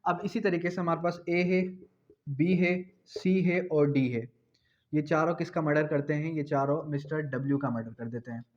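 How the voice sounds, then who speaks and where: A man's voice: a little high, moderate pace, lo-fi sound. A man with a Pakistani accent giving a law lecture, explaining didactically with repetition, asking questions and answering them himself, and dictating definitions.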